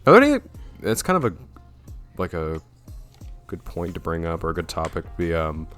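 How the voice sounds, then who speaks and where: Young male podcast host. Very low, deep radio-style voice, loose and rambling. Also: normal speed, faint music behind. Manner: relaxed and rambling, with frequent fillers. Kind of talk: casual chat.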